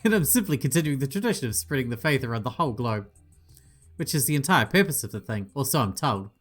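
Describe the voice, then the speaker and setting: A man's voice: mid-range voice, lively intonation, fast talker, soft background music. A man playing through a visual novel, reading every part aloud with playful asides and the odd swear. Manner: playful, swearing asides, voices each character. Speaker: gaming channel host.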